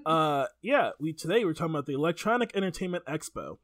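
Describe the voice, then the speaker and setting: A man's voice: fairly high pitch, lively intonation, fast talker, a bit bright, dry recording, clean studio sound. A man co-hosting a podcast, talking casually about video games in a rambling, stop-start way. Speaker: podcast co-host.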